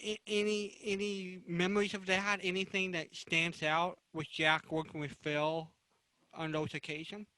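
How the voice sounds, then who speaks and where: A man hosts a panel interview in a bright, somewhat high voice. He halts and repeats words as he shapes each question. The sound is dry and close. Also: level tone, a little slow, dry recording, rough recording. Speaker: moderator. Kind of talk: hosting a panel interview about old-time radio.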